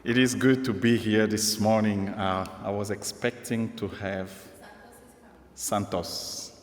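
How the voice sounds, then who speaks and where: A man whose first language is Kikongo, preaching in English as a guest and pausing in short, measured phrases for an interpreter. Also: on the low side, level tone. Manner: slow, measured phrases paused for translation. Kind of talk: sermon delivered in English with live interpreter. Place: church sanctuary. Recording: long church hall reverb.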